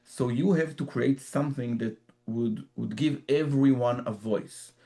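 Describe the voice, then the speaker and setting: A man's voice: medium pitch, unhurried, dark tone. A man with an Israeli accent, answering interview questions as an author, often repeating words as he searches for phrasing. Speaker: author guest. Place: in Jerusalem, remote line.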